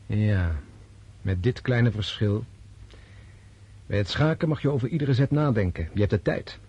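An actor in a Dutch radio drama playing a disillusioned, resolute Russian officer prince, speaking in a deliberate, scornful way. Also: male voice, on the low side, a little mellow, slightly rough, muffled recording. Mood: bitter, lecturing.

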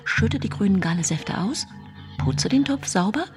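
A woman's voice: mid-range voice, animated, moderate pace, faint music behind, natural voice. An actress playing a self-righteous young 19th-century wife and mother who confides her story in a genteel, self-satisfied way in a radio play. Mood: weary, anxious. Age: late twenties. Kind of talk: radio play monologue, first-person storytelling.

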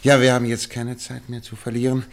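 An actor playing a shrewd, courteous private detective in a German radio play, questioning in a measured, thoughtful way. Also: male voice, medium pitch, monotone, lightly acted. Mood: brisk, decisive.

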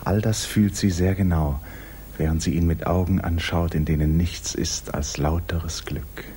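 Deep male narrator, 1950s radio drama, measured, intimate, dramatic, dark timbre. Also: fairly even intonation, slight background noise. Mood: hushed, intense. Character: grave, intimate male narrator of a literary story. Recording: 1950s radio recording, music behind.

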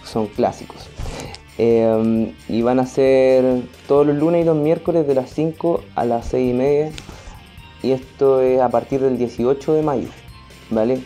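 A man's voice: medium pitch, fairly even intonation, a little slow, mellow, faint music behind. A man with a Chilean accent talking casually as an interview guest, in an informal, colloquial and hesitant way. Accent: Chilean Spanish. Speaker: podcast and theatre artist interviewed as guest.

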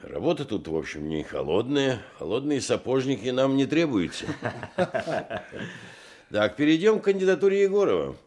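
An actor playing a gruff, decisive police chief running a meeting in a radio drama. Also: male voice, mid-range voice, sing-song, moderate pace, darkish tone. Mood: brisk, wry.

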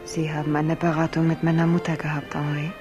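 An actress playing a tender, secretive royal bride in love in a German radio drama, breathy and intimate in manner. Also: female voice, sits low, completely flat delivery, brisk, music in the background, close to an everyday voice. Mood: tender, guarded.

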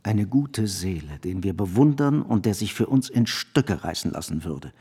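Husky, effusive bourgeois host. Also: male voice, on the low side, sing-song, moderate pace, dark tone, full character voice. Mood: proud, effusive. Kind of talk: German radio play acting.

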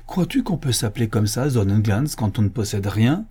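A man's voice: on the low side, animated, moderate pace, dark tone, breathy edge. A man voicing a haughty, surly male official in a storybook reading, scornful and pompous in his questioning. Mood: mocking, scornful. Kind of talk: audiobook character acting.

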